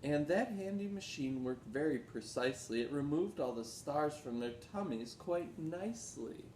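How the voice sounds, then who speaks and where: A man narrating a children's storybook aloud in an expressive, rhythmic storytelling delivery. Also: moderate pace, far from the mic. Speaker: teacher reading aloud. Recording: roomy echo with background rumble noise.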